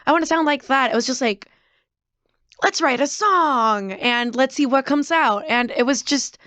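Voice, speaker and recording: A young woman from Mexico, Spanish her first language, speaking English as an interview guest, animated and giggly. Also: high voice, moderate pace, dry recording. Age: young adult. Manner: animated, giggly, acts out past reactions. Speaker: band drummer, interview guest. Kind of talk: casual interview chat.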